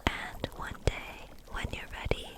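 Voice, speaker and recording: A woman playing a gentle, kindly kitchen witch in an ASMR roleplay, whispering softly in a soothing, encouraging way. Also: a little slow, natural voice. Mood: tender, hopeful. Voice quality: breathy unvoiced whisper.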